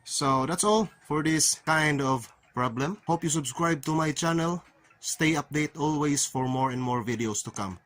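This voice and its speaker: A man's voice: on the high side, moderate pace, fairly bright tone. A man narrating a hands-on repair tutorial, explaining plainly step by step with non-native phrasing. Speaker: repair channel host. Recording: clearly noisy recording with ambient hiss.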